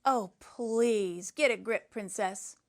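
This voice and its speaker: A woman's voice: mid-range voice, slow. A woman voicing a brash schoolboy who tells a macho storybook action tale in a blunt, slangy, boastful way. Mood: scornful.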